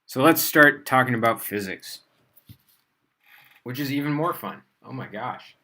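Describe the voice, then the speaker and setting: A man's voice: medium pitch, lively intonation, a little slow, pushed and bright. A man teaching physics on a casual livestream, chatting with fillers and laughing at himself as he tries out beginner Spanish. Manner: chatty, rambling, with frequent fillers.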